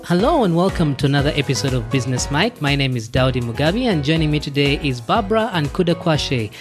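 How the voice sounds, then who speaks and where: A male podcast host asks his guests questions in a relaxed, chatty manner. His voice sits a touch high, with faint music in the background. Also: fast talker. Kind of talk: interview hosting.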